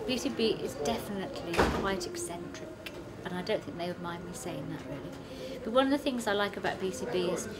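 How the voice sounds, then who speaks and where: A middle-aged woman giving emphatic interview testimony as a community worker. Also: on the low side. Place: small room, indoors.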